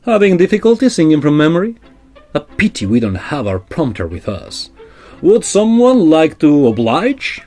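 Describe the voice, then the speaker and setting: A suave and smug baritone singer, acted by a man, with very lively intonation. He teases in the mannered style of a comic period audio play. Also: normal speed, faint music behind. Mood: wry, teasing. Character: suave, teasing male operatic baritone, smug rival.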